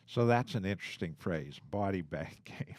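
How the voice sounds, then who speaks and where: An older man hosting an interview podcast, speaking in a measured, formal way. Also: low voice, expressive, darkish tone, no echo. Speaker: podcast host, law firm chair.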